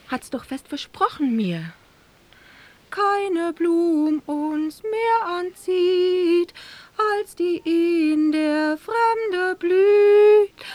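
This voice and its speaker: High-voiced, unhurried young sultan's daughter. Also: female voice, a little mellow, no echo, full character voice. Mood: wistful singsong. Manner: theatrical, lilting verse delivery. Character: young sultan's daughter, forthright, lovestruck and playful. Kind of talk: rhymed verse fairy-tale audio drama.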